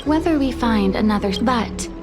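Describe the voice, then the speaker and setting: An actress playing a polite, anxious and dutiful maid in a video game, formal and deferential. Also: female voice, fairly high pitch, expressive, normal speed, over music, close to an everyday voice. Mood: worried, pleading. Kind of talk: video game dialogue acting.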